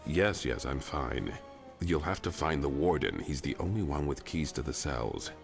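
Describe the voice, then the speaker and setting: An actor playing a stern, haughty man in a fantasy video game, speaking in a gravelly, condescending, measured way. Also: very deep voice, lively intonation, soft background music, exaggerated voice.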